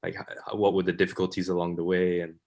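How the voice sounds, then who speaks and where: Deep, flat-voiced halting male host. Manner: halting, full of fillers and restarts. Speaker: interview host. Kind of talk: casual interview questions.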